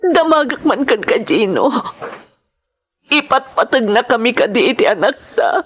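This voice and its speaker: High-voiced, pleading Ilocano wife played by a woman. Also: big pitch swings, normal speed, slightly rough. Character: worried, pleading wife of a sick man. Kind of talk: Ilocano audio drama acting.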